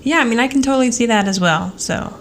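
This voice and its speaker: A woman's voice: fairly high pitch, expressive, quick pace. Woman podcast co-host, faint noise behind. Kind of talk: casual chat.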